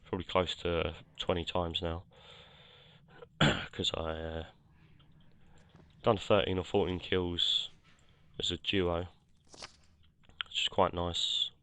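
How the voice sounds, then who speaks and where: Croaky low-voiced man. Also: level tone, slow, pauses often, pushed and bright, no room sound. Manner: rambling, self-correcting, many fillers. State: croaky from a cold. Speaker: gameplay commentator. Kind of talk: casual game commentary.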